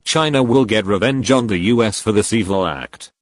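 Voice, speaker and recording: A man's voice: fairly low pitch, normal speed, dry recording, clean studio sound. A man reading a scripted official government message, formal and stern.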